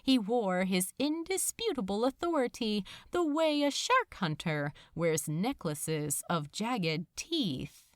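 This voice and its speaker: A woman reading a story aloud as a dramatic narrator, in deliberate, measured phrases with pauses. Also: medium pitch, very animated, no echo, studio quality. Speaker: fan fiction narrator.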